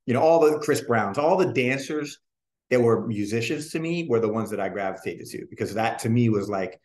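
A man in his forties from New Jersey, telling stories from his life in a relaxed, rambling interview way with many fillers. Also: medium pitch, brisk. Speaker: guest, singer and dancer. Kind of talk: casual interview storytelling. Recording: roomy echo.

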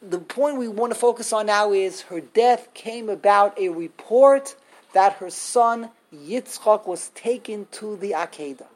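Woman giving a torah class, animated, mid pitch, tosses in hebrew words a lot. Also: a little slow, low-quality recording. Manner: animated, acts out quotes, mixes in Hebrew terms. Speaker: Torah teacher. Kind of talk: Torah class lecture to a small group.

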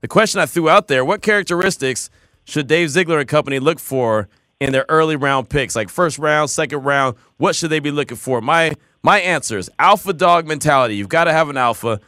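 A man hosting a sports talk radio show, energetic and upbeat, often repeating his phrases. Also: fairly high pitch, fairly quick, no room sound, clean studio sound. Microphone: studio mic.

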